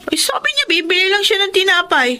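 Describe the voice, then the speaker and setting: A woman's voice: very high-pitched, lively intonation, quick pace, bright, forceful tone, full character voice. An actress playing a dutiful young daughter who reassures her mother in a radio drama. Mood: caring.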